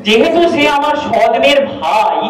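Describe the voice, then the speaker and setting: A man's voice: very high voice, lively intonation, normal speed, a bit bright. A man playing an exasperated village husband in a comic audio drama, explaining his tangled family insistently, step by step. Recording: distant echoey hall recording with audience noise.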